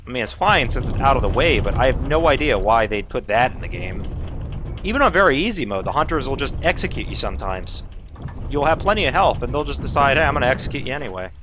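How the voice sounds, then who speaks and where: A man's voice: mid-range voice, very animated, fast, noisy background. A man casually commenting on his own speedrun and chatting with viewers, swearing now and then when frustrated. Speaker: speedrun streamer.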